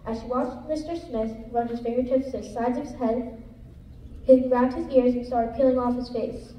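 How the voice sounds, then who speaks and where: A woman's voice: fairly high pitch, steady tone, normal speed, dark tone. A female student narrator reading a scripted story aloud in a reader's theater show. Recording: echoey room, distant mic, background noise.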